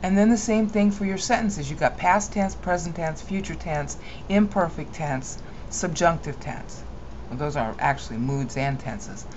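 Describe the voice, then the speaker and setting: A woman with a low, dark voice talks through a screen-recorded software tutorial in a rambling, opinionated way, stopping to correct herself as she goes. The sound is muffled and lo-fi, with a faint hiss underneath. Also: moderate pace, few pauses.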